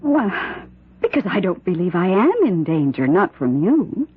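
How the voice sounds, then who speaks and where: An anxious, loving wife in a vintage radio play. The husky voice swings widely in pitch as it pleads tenderly. The sound is thin and tinny, like an old broadcast. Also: female voice, very animated, a little slow, heavily acted. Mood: tender, insistent. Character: devoted, anxious wife of a prison warden. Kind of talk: old-time radio drama acting.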